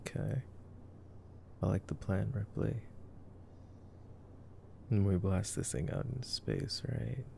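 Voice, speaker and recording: A man doing an ASMR boyfriend roleplay, murmuring laid-back, casual movie commentary with long pauses. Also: lowish voice, lively intonation, vocal fry, a little mellow. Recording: faint background noise.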